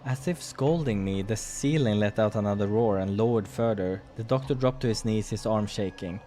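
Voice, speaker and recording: A man's voice: fairly low pitch, moderate pace, dark tone. A man hosts a visual novel playthrough with music behind him. He reads the narration aloud and drops into casual remarks, sometimes tripping over a line and correcting himself. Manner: stumbles over read lines and corrects himself. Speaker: let's player reading a visual novel aloud. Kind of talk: gaming playthrough, reading narration with casual asides.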